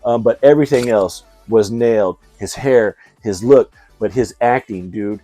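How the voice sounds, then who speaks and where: A man's voice: fairly low pitch, a little slow, warm tone, dry recording, soft background music. A man hosting a vlog, talking in a casual, chatty way with slangy, laid-back fan enthusiasm. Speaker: YouTube host reviewing movies and toys.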